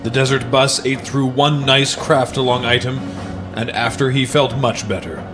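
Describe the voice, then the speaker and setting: A man with a mid-pitched voice hosts a children's book session, reading aloud and chatting about stories. Music plays behind him. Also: steady tone, normal speed. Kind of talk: storybook read-aloud and casual chat about books. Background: background music.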